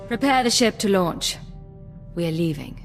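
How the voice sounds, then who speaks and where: An actress playing a cool, resolute secret agent in a video game, with a controlled, serious and clipped delivery. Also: female voice, mid-range voice, animated, measured pace. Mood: commanding. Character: cool, resolute female secret agent, self-possessed and guarded. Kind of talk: scripted dramatic game acting. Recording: reverberant hall, music behind.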